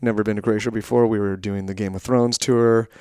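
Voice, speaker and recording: A man hosts a podcast in a casual, conversational way. His voice is clear and resonant at a medium pitch, with a slight hoarse edge. The sound is dry and close. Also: fairly even intonation, fairly quick, mellow, no room sound. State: a little bit hoarse. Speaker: podcast co-host. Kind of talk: casual chat and show introduction.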